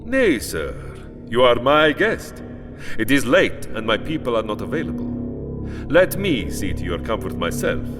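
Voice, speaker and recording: Elderly vampire nobleman, foreign accent, animated intonation, formal and commanding, gothic audio drama, music behind. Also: medium pitch. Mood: courtly, insistent. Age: older. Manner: courtly, formal, deliberate. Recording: long hall reverb, music behind.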